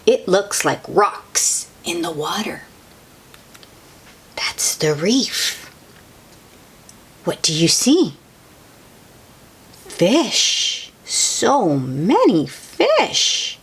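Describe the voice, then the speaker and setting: A woman reads aloud to young children at a very slow, deliberate pace. Her slightly low voice moves with lively intonation as she comments simply and invites the child to look and wonder. Faint noise sits behind her. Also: husky. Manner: child-directed, asks the listener questions, exclaims in wonder. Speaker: host reading picture books aloud to children.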